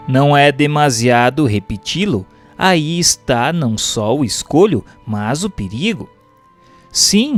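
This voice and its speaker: A Brazilian man narrates a written text in a mid-range voice. His delivery is formal and measured, like a teacher setting out principles. Soft music plays behind him. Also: mid-range voice. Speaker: narrator reading a spiritist book aloud. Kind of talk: scripted audiobook narration.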